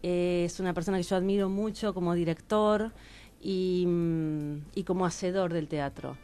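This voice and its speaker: A woman's voice: lowish voice, fairly even intonation, normal speed, dark tone, smooth. A woman with an Argentine accent, a radio columnist introducing guests in an informal, affectionate conversational style.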